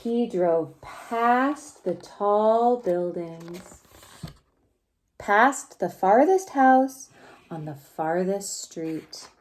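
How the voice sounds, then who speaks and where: A woman reading a picture book aloud to young children in an expressive, gentle way, pausing to ask them questions. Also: mid-range voice. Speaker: preschool story time reader.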